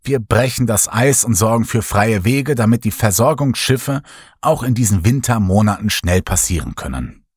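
Male horror narrator, slow and hushed, breathy timbre, dry booth sound. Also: on the low side, animated, no room sound. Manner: slow, hushed, dramatic storytelling. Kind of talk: scripted horror audiobook narration.

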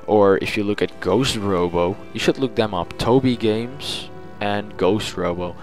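Man, fairly low voice, casual gaming audio log, chatty, informal, rambling, light music in the background. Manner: informal, chatty, thinking aloud. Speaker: gaming channel host.

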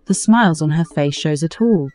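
A woman's voice: sits low, animated, normal speed, a little mellow. A woman narrating a scripted folktale as a storyteller. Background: music under the voice.

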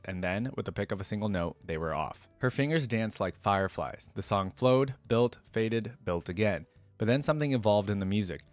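A man's voice: lowish voice, no room sound. A young man, reading a story aloud and adding casual reactions, recorded indoors on a close desk mic. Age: young adult. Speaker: reaction video host. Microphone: close desk microphone, with headset worn.

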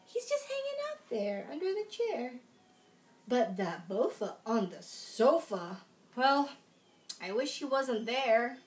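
A young adult woman reading aloud at a children's storytime, expressive and sing-song, with little asides to young listeners. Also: a little high, slow pace. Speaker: children's storytime reader in princess costume. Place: small room at home, indoors.

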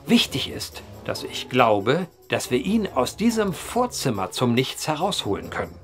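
An actor playing an earnest, determined gentleman writer in a German audio drama, speaking with precise, old-fashioned eloquence. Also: mid-range voice, big pitch swings, measured pace, soft background music.

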